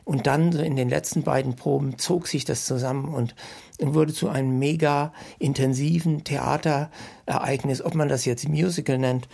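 A man's voice: mid-range voice, creaky, darkish tone. A man, a sound designer and composer, reminiscing in a rambling way with many fillers and restarts. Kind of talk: reminiscing interview.

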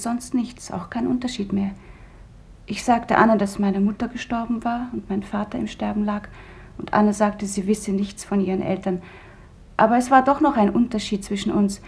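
A woman's voice: mid-range voice, natural voice. Earnest, grieving actress as woman survivor. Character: grieving woman survivor recalling a lost friend. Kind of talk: radio drama testimony.

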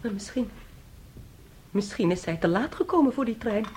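An actress in a radio drama playing a young niece, concerned, questioning and unsure of herself. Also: female voice, medium pitch, lively intonation, moderate pace, a little mellow, a little hiss or hum, natural voice. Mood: anxious.

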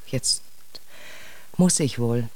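An actress playing an anxious, genteel English widow who owns a shop, polite and hesitant, in a German radio crime drama. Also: female voice, rumbling low, drawn-out words, heavily acted. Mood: shaken, subdued.

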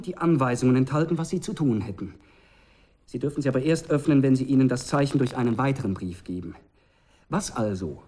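An actor playing a cool, calculating young strategist in a radio drama, speaking in formal, measured, precise phrases. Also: male voice, on the high side, dark tone. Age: young adult. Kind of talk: German radio drama acting.

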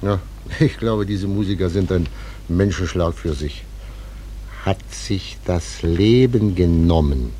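An actor playing a talkative, matter-of-fact small-town undertaker who gossips, in a radio drama. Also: male voice, low voice, slow, dark tone, slight background noise. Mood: matter-of-fact, gossipy. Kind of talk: theatrical radio drama acting.

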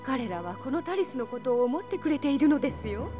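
A woman playing a calm, dignified queen and mother, gently admonishing, in a fantasy audio drama. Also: sits high, fairly quick, music in the background.

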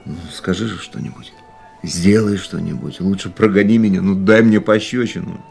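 A man's voice: low-pitched, moderate pace, gravelly, a little mellow, breathy edge, full character voice. An actor playing a lovesick, jealous man in a radio drama, speaking earnestly and broodingly. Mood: pleading, ardent. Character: lovesick, jealous man pleading with his beloved. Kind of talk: radio play acting with reflective narration. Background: music.

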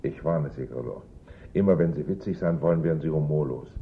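Gruff deep-voiced police inspector. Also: male voice, fairly quick, phone-quality sound, a little hiss or hum. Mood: grumpy. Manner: curt, grumpy. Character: gruff, humourless-sounding male police inspector. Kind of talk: German radio play acting.

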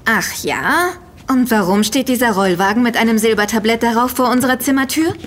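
An actress playing a young, impatient, sardonic and quick-witted woman in an audio drama, teasing her friends dryly. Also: a little high, a little hiss or hum, natural voice. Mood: impatient, sarcastic. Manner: teasing, dry sarcasm. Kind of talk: German audio drama dialogue.

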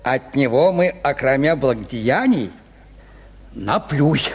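A man's voice: medium pitch, expressive, slow, slightly rough, full character voice. A man acting a cautious, grumbling petty official in a radio play, querulous and admonishing. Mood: indignant, reproachful. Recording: reverberant hall-like studio.

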